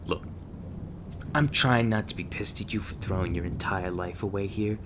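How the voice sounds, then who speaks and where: A man playing a gruff, sarcastic, guarded monster hunter mentor in an ASMR audio roleplay. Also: low voice, moderate pace, background noise, lightly acted. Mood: softening, earnest. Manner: foul-mouthed, sardonic, scornful.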